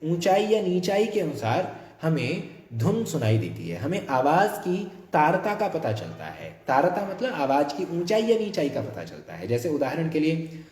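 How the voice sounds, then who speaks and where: Hindi-speaking male lecturer, lively pitch. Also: roomy sound. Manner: explains slowly, sings scale notes to demonstrate pitch. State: tired throat. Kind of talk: academic lecture with sung examples. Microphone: phone about a foot away.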